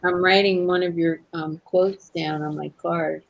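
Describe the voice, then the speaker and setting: A woman's voice: on the low side, steady tone, measured pace, gravelly, lo-fi sound. A woman from Brooklyn with a Brooklyn accent, chatting casually as host with a friend and online viewers. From Brooklyn, New York. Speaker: host of a live crafting hangout.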